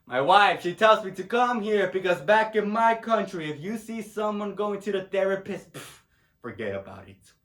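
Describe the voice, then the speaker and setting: A man's voice: sits high, expressive, moderate pace, fairly bright tone. A man playing a middle-aged Puerto Rican immigrant father in a solo dramatic monologue, proud, tender and grieving, speaking broken English with a Puerto Rican Spanish accent and rambling with "huh?" tags. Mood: guarded, reminiscing. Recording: echoey room.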